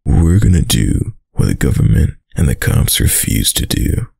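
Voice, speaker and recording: A man playing a dominant, doting young mafia heir in an intimate boyfriend roleplay, with a very deep, gravelly voice and a slow, tough-guy delivery. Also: very animated, darkish tone, no echo, studio quality, heavily acted. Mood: earnest, devoted. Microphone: close, dry microphone.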